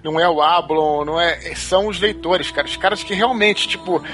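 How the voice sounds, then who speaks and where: A man's voice: high-pitched, brisk, fairly bright tone. A man with a Brazilian accent speaking earnestly and colloquially as an author guest in casual podcast chat.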